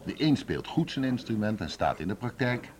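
A man's voice: mid-range voice, very animated, normal speed, darkish tone, lightly acted. An actor in a Dutch radio drama playing a blunt, scornful, opinionated man from music school circles.

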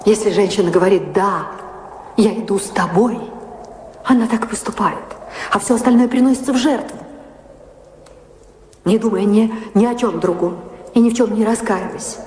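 An actress playing a proud, passionate lady of the house in a radio play, speaking in a measured, theatrical way with pointed questions. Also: medium pitch, mellow, slight background noise, close to an everyday voice. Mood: earnest, impassioned. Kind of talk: radio play stage acting. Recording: echoey hall reverb, music behind.

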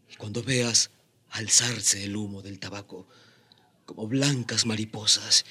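An actor in a radio drama playing a proud, defiant young Indian rebel leader, speaking in a solemn, declamatory way. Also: male voice, fairly low pitch, pushed and bright, slightly rough, heavily acted.

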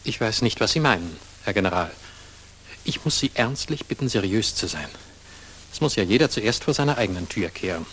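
Stiffly formal older industrialist. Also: male voice, lowish voice, animated, fairly quick, a bit bright. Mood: uneasy. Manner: formal, pleading, humourless. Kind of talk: 1960s German radio drama acting. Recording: faintly hissy 1960s mono radio recording.

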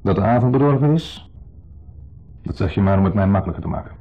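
A man's voice: lowish voice, lively intonation, fast talker. An actor playing a lonely, needy man in a radio drama, insistently friendly and confessional, swinging between eager and downcast. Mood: eager, pleading. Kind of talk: 1970s radio drama acting. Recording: dull 1970s radio recording.

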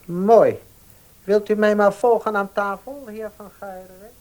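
A formal, cautious baker. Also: male voice, high-pitched, fairly even intonation, unhurried, darkish tone, rough recording. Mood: polite. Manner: formal, deferential, hesitant. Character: polite, cautious baker, protective older brother. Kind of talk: Dutch radio play acting.

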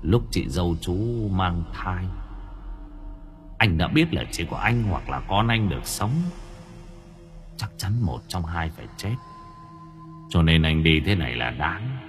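A man putting on a grave, quiet ghost of an older man, who speaks in a deep, hushed monotone that drops well below the narrator's usual voice. The pitch still rolls up and down a little as he speaks, and faint music sits behind him in this spoken ghost story. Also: a little slow, pauses often. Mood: solemn. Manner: low, even, murmured monotone.

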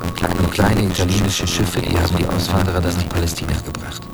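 A man giving a plain, unhurried spoken recollection as a witness. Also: low voice, little pitch movement, gravelly. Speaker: witness recalling camp life. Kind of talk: recorded testimony. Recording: loud music underneath.

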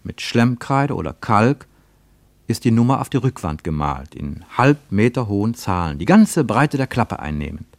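A man reading a scripted literary narration for a radio play in a measured, deliberate way. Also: fairly low pitch, sing-song, gravelly, no echo.

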